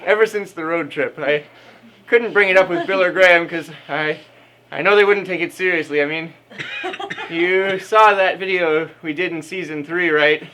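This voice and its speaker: A man performing his part in a live comic script reading, halting and self-conscious, in a live room recording with faint noise. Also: fairly high pitch, sharp tone, low-quality recording. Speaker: amateur performer acting out his lines.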